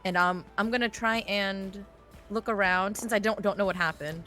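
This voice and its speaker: Bright-toned tabletop player. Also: medium pitch, steady tone, moderate pace, faint music behind. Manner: casual, hesitant. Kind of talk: tabletop game play.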